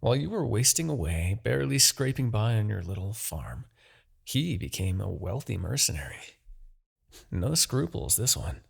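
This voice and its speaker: A man playing a smug, menacing demon king, obsessed with his rival, in a theatrical, deliberate fantasy roleplay monologue with a husky, gravelly voice. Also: medium pitch, exaggerated voice. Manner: theatrical, gloating, deliberate, grandiose.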